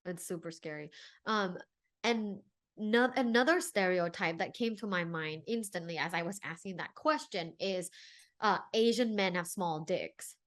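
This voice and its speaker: A woman's voice: lowish voice, a little slow, a bit bright. A woman from Thailand hosting a podcast, asking questions in a conversational interview style. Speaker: podcast host.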